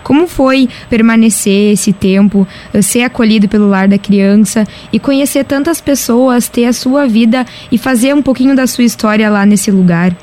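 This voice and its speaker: A woman hosting a community radio program, reading introductions and asking interview questions in a clear, friendly manner. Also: on the high side, moderate pace, few pauses, dark tone. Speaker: radio host. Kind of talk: scripted radio presenting.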